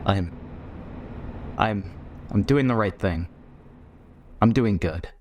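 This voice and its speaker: A man playing a defiant, principled military commander in a science fiction audio drama, guarded and measured with hesitant pauses. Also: fairly low pitch, noisy background. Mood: earnest, shaken.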